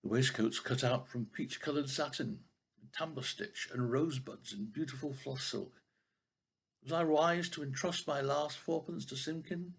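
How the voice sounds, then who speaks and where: A poor, anxious old tailor from a children's storybook, voiced by an older man reading aloud. His voice is creaky and rough, and he mutters mournfully, drawing out his laments. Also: mid-range voice, unhurried, voice pushed up. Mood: fretful lamenting.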